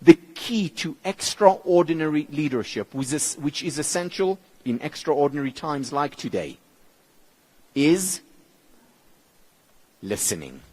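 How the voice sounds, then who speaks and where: A man whose mother tongue is Hebrew gives a motivational conference talk in English, with measured, emphatic phrasing and deliberate pauses. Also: husky. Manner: lively, expressive pitch, dropping low on key words. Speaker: keynote speaker.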